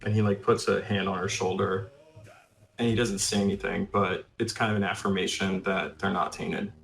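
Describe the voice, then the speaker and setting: A man's voice: sits low, flat delivery, moderate pace, creaky, a bit bright, soft background music. A man playing a tabletop game, casually describing what his character does.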